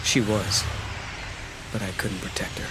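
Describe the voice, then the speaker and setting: An actor playing an earnest, idealistic young adventurer in a video game, casual and thoughtful. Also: male voice, fairly low pitch, steady tone, measured pace, noisy background, close to an everyday voice. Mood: regretful. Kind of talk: video game cutscene acting.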